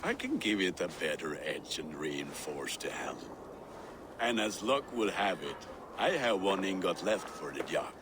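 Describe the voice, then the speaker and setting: Slow, deep Viking blacksmith. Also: male voice, sing-song, noisy background. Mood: businesslike, gruff. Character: burly, hearty, sentimental Viking blacksmith. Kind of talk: video game character acting.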